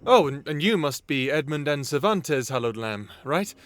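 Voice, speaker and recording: A man's voice: a little high, expressive, moderate pace, natural voice. Man playing a nervous, polite young captive. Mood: eager to please. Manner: stammering when cowed, courteous. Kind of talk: dark comedy audio drama acting.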